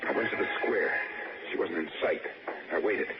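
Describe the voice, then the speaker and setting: An actor playing a restless, secretly murderous drifter who tells his own story haltingly in a 1960s radio suspense drama. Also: deep voice, lo-fi sound, loud music behind the voice, lightly acted. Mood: brooding narration. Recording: noisy, band-limited 1960s radio recording.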